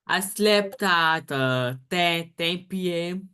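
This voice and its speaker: Slow, hesitant Brazilian man on a video call. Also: high voice, bright, forceful tone, clean tone. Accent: Brazilian Portuguese. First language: Portuguese. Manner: hesitant, searching for English words, laughs often. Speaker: student. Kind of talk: casual chat.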